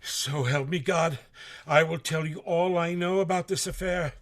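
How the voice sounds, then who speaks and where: A man playing a nervous, rough but honest sailor in a radio drama, stammering and deferential. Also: a little high, normal speed, fairly bright tone, close to an everyday voice. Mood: resolute. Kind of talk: live radio drama acting.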